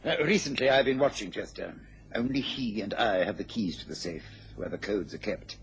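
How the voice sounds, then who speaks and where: An actor playing a worried, dignified British government official in a radio drama, formal and hesitant. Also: male voice, fairly low pitch, normal speed, natural voice. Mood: grave, confiding. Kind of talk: mid-century radio drama acting. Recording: noisy old radio recording.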